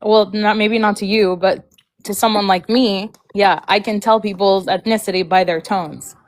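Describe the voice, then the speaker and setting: A woman's voice: mid-range voice, steady tone, normal speed. A woman guest on a casual livestream chat, frank and rambling, often trailing off mid-thought.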